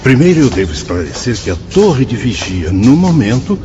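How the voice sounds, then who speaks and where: An actor playing a kindly priest who directs a watchtower, speaking in a warm, paternal, welcoming way in a radio drama. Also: male voice, mid-range voice, very animated, moderate pace, close to an everyday voice. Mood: guiding. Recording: dull lo-fi audio with music bed.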